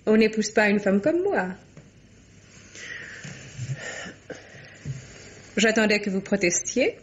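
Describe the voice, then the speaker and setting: An actress playing a haughty, sharp-tongued, romantic kept mistress in theatrical, ironic 1950s French radio drama style. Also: female voice, medium pitch, lively intonation, normal speed, a little hiss or hum, natural voice. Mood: teasing, piqued. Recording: dull vintage radio recording.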